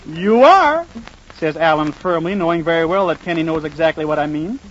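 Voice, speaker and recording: A man's voice: a little high, animated, a little fast, natural voice. A man playing an eager, polite, hapless young man in love in a 1940s radio sitcom. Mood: earnest. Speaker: comedian, star of the show. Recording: muffled, noisy 1940s radio, studio audience.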